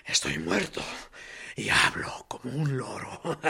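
A man's voice: medium pitch, sing-song, slow, creaky, pushed and bright, husky, full character voice. An actor playing a tortured, tied-up male prisoner in a radio play, mocking and defiant, often sinking into a whisper.

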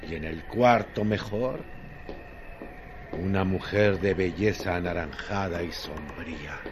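A man narrating a radio drama in a solemn, deliberate literary style with dramatic pauses. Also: low voice, noisy background.

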